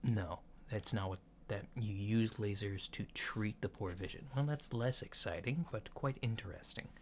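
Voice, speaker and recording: A man playing a polite, curious alien doctor in a soft-spoken ASMR roleplay, talking in a halting, matter-of-fact way. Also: lowish voice, close to an everyday voice. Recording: lo-fi with clear background noise.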